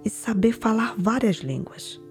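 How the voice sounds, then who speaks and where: A woman narrating a documentary in a calm, measured, slightly whispery way and putting questions to her interviewees. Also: on the high side, soft background music. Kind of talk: reflective scripted narration.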